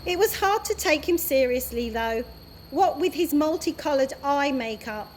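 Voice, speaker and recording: A woman, an early years practitioner, reading a children's chapter book aloud in a steady, expressive way. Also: sits high, moderate pace, slight background noise.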